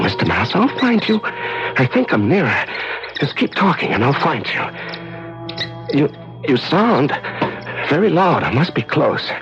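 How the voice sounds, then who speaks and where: An actor playing a weak, despairing starving prisoner in a radio drama, rambling and halting, his frail voice moving between tender murmurs and anguished cries. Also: medium pitch, gravelly, full character voice. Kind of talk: old-time radio drama acting. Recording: dull radio recording, loud music.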